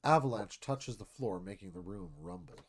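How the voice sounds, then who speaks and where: A man's voice: medium pitch, very animated, normal speed, creaky, husky. A man narrating a screenplay, reading action and stage directions as dramatic scripted narration.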